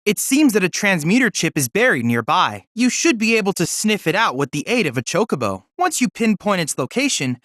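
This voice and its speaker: An actor playing a polite, earnest boyish android research assistant in a video game, with formal, precise, bookish diction. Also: male voice, high voice, big pitch swings, fairly quick, few pauses, a bit bright, dry recording, clean studio sound. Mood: helpful, eager. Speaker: game character, research guide. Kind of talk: scripted video game voice acting.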